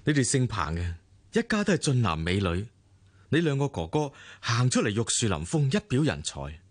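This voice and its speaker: A man's voice: medium pitch, sing-song, normal speed, no room sound. A man with a Hong Kong accent acting a devoted, patient businessman husband in a radio drama, earnest and placating. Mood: hurt, earnest.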